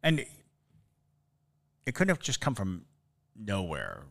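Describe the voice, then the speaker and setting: A man with a deep voice and a bright, sharp edge. He talks as a co-host on a casual podcast. His phrasing is deliberate and halting as he reasons things through. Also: wildly swooping pitch, vocal fry. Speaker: podcast co-host, quiz contestant.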